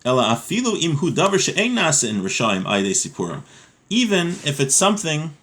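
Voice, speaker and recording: A man's voice: fairly high pitch, very animated, fairly quick, a bit bright. A man giving an informal religious lesson, reading from a text and explaining it in plain words, often asking questions and answering them himself.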